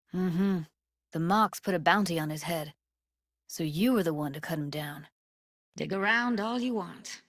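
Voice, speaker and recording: A woman's voice: on the low side, fairly bright tone, no echo, studio quality. A woman voicing a streetwise, guarded young techie in a video game, blunt and slangy, with an American accent. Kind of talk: naturalistic video game dialogue.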